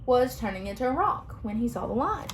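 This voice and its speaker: A young woman reading a picture book aloud to children, expressive and pausing to ask them questions. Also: on the high side, slight background noise. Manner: expressive, asks listeners questions. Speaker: children's story reader.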